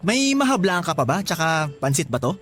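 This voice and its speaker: A friendly young laborer, voiced by a man, in a radio drama, shy and polite. His voice is high for a man, with soft music playing behind. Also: very animated, a little fast. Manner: friendly, apologetic.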